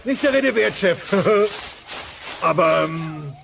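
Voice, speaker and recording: Grumbling dinosaur dad: high, creaky, sheepish yet sarcastic, comedic audio drama. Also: male voice, expressive, takes it slowly, fairly bright tone, slight background noise, full character voice. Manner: hesitant, sarcastic, fawning to superiors. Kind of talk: comedic audio play dubbed from a TV sitcom.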